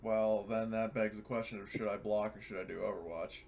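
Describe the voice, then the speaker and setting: A man's voice: on the low side, steady tone, brisk, creaky, darkish tone, a little hiss or hum. A man playing a tabletop game, chatting casually and thinking aloud in a jokey way. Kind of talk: casual chat at a game session.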